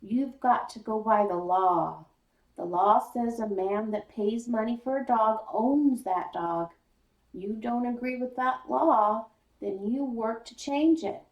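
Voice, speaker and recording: A woman's voice: fairly high pitch, takes it slowly, a little mellow. A woman voicing a stern, plain-spoken father who lectures his son in folksy rural phrasing, for a children's story. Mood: firm. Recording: roomy echo, distant mic.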